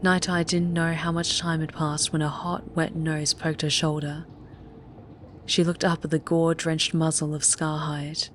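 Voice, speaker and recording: A woman's voice: deep voice, level tone, unhurried, slight background noise. A woman narrator reading a scripted story in a dramatic audiobook style. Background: faint music.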